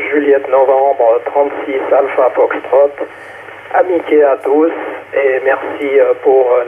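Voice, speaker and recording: Slow-spoken man over narrowband radio. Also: medium pitch. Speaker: amateur radio operator. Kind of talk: halting radio check-in. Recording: narrowband, noisy ham radio relay.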